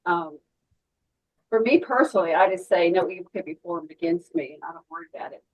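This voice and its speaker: A woman with a slightly low voice offers a casual, personal opinion in a discussion. The recording is a little dull and lo-fi. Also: lively intonation, fairly quick, husky. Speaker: participant in a script discussion.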